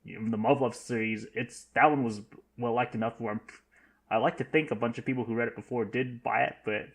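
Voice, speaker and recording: A man chatting casually as a podcast panelist, with halting, tentative, roundabout phrasing. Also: mid-range voice, fairly even intonation, gravelly, slightly rough.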